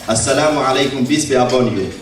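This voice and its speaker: Imam in an echoey hall. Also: male voice, mid-range voice, noisy background. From Somalia. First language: Somali. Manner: formal and oratorical, slow emphatic phrasing. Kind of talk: formal speech at a dinner. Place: large banquet hall indoors. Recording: echoey hall, distant mic, crowd chatter and clattering dishes.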